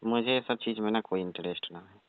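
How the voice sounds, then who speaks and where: A man's voice: lowish voice, a little fast, no echo, close to an everyday voice. A man playing a reluctant, exasperated young village electrician, grumbling his refusals in a comic phone skit. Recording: tinny, dry phone-call recording.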